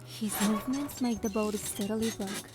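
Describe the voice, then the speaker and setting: A woman playing a playful young heroine in a game, narrating softly in the first person. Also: medium pitch, steady tone, normal speed, natural voice. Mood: calm narrating. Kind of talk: scripted first-person narration with character dialogue. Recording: studio voice over clear background music. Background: music.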